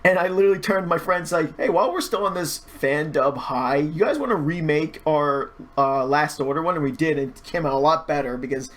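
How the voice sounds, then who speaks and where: A man with a voice a bit higher than average talks casually as a podcast guest. He is hesitant, often repeating and restarting his phrases as he explains things. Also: brisk, few pauses, fairly bright tone. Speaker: podcast guest, online video creator and fan dubber.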